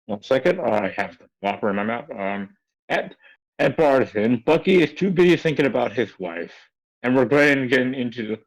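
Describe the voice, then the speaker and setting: A man giving a casual comedic reading on a livestream, halting and stumbling over words. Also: medium pitch, animated, poor audio. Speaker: co-reader on a livestream.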